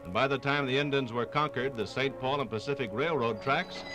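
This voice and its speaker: Music plays clearly behind a male narrator of a vintage radio documentary. His voice sits at a medium pitch, and he builds phrases dramatically with deliberate pauses.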